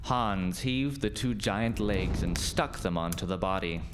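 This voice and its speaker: A man's voice: low-pitched, measured pace, background noise. A man narrating a scripted folk tale as the storyteller in a radio play.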